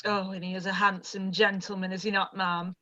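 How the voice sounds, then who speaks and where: A woman's voice: medium pitch, flat delivery, fairly quick, highs cut off, close to an everyday voice. A woman voicing a chatty, old-fashioned housekeeper who is proud of her master, in a live-read radio play. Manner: chatty, warm, old-fashioned servant speech.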